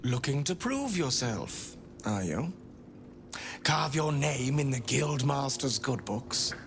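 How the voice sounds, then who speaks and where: A man's voice: mid-range voice, sing-song, slow, creaky, fairly bright tone, soft background music, full character voice. A man playing a sardonic, streetwise underworld enforcer in a game, with a taunting manner. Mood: sly, amused. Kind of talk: dramatic game dialogue. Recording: background noise and ambience.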